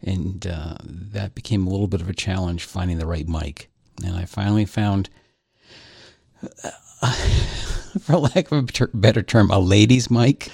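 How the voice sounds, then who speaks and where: Male podcast co-host, husky, dry close-mic sound, rambly and self-mocking. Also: sits low, moderate pace, a little mellow, dry recording. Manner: rambling, self-deprecating, many restarts. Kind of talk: casual chat. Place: home studio, recorded locally over Skype. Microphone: large-diaphragm dynamic mic, close.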